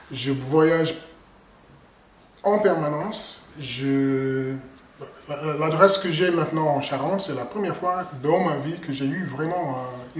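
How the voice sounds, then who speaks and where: A man with an American accent, a native English speaker, introducing himself as a writer in residence in halting speech full of restarts. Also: fairly high pitch. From United States. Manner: halting, with frequent restarts and repeated words. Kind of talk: casual self-introduction. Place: on a river barge. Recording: echoey room with faint ambient noise.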